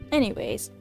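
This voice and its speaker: A woman's voice: fairly high pitch, creaky, poor audio. Giggly woman streamer over game music. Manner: giggly, chatty. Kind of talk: casual livestream chat about a game.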